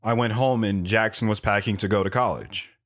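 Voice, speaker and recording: A man's voice: fairly low pitch, brisk, fairly bright tone, lightly acted. Man playing an earnest young narrator. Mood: plain. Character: Travis, earnest awkward teenage boy telling his story. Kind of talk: first-person dramatic narration with acted dialogue.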